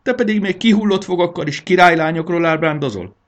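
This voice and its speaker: Old dragon wife for a storybook, played by a man: fed up, blaring, scolding, pitched high. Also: natural voice. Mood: furious. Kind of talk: dramatized children's storybook reading.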